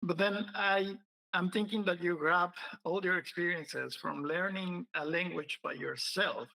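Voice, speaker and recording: Curious podcast host guy, gravelly, sharp tone, asks long rambling questions. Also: sits high, steady tone, unhurried, rough recording. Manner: curious, thinks aloud while asking. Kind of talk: interviewing guests.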